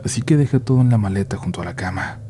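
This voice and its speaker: A man narrating a first-person horror story in a hushed, measured way, sometimes dropping to a near-whisper. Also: fairly low pitch, a little hiss or hum.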